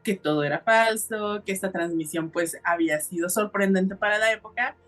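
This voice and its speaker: A woman's voice: medium pitch, moderate pace, fairly bright tone, soft background music. A woman with a Mexican accent hosting a podcast, telling a story in a casual, colloquial and explanatory way. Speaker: podcast host.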